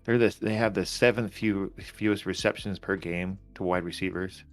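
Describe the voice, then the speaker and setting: A man's voice: sits low, steady tone, normal speed, soft background music. A man co-hosting a casual fantasy football chat, joking bluntly and explaining his points at length. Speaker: co-host.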